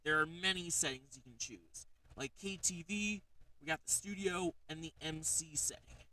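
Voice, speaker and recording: A man's voice: a little high, normal speed, bright, forceful tone, recorded from a distance, rough recording. A man hosting a casual livestream, rambling with lots of fillers as he shows off a gadget. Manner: rambling, frequent fillers and "alright?" check-ins. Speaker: streamer.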